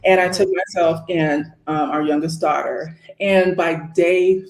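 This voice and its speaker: A woman's voice: on the low side, fairly even intonation, measured pace. A woman in her late forties with an American accent chats as a guest on a live Q&A. She tells her story in a casual, conversational way. The recording is roomy, with noticeable echo around her voice. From Northern California, US. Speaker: interview guest.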